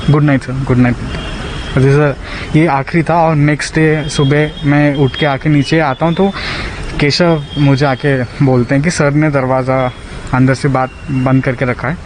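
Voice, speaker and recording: A man with an Indian accent recounting events on a recorded phone call, halting and slipping into English. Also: mid-range voice, steady tone, background noise.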